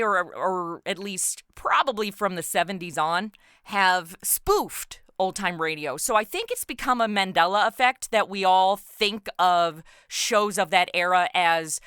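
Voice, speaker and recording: Woman guest on a podcast, clear bright voice, chatting away all enthusiastic and casual. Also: medium pitch, lively intonation, a little slow, no echo, clean studio sound. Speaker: podcast guest, audio drama writer and actor. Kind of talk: casual interview chat.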